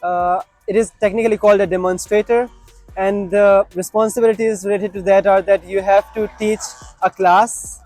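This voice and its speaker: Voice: mid-range voice, fairly even intonation, moderate pace, a little mellow, faint music behind. A student working as a teaching assistant, explaining the job plainly with fillers.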